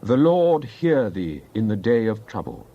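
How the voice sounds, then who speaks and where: A man's voice: lowish voice, very animated, measured pace, highs cut off. A man giving a dramatized Bible reading in a solemn, declamatory manner. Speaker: scripture reader.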